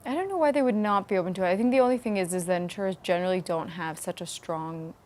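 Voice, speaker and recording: A woman's voice: fairly low pitch, fast talker, a little mellow. A woman answering interview questions as a company founder, in an informal, explanatory conversational manner. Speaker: startup founder being interviewed.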